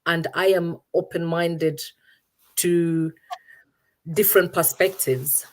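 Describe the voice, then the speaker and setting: Interview guest: low-pitched woman from Uganda, middle-aged, reflective and word-searching. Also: steady tone, slow pace, a little mellow. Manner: thoughtful, searching for words with many restarts.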